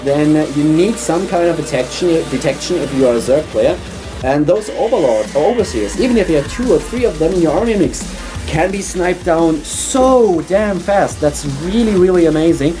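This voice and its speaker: A man's voice: normal speed, over music. A man from Germany with a German accent, casting a game on his own in a small studio, chatty and upbeat. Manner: chatty, upbeat, pitch jumps when excited. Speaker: esports caster. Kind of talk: solo game commentary.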